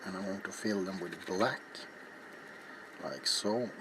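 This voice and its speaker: A middle-aged man hosts an ASMR craft video in a low, hushed voice. He talks very slowly and haltingly, often dropping to a whisper. Clear background noise sits behind him in a small indoor room. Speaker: ASMR video maker and host. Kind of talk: ASMR, softly spoken craft demonstration to viewers. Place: small cluttered indoor workshop.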